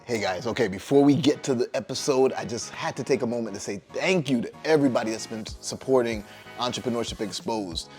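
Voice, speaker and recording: A man hosting a podcast, speaking straight to listeners in a friendly, relaxed way. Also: medium pitch, brisk, soft background music. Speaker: podcast host. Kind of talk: casual channel announcement.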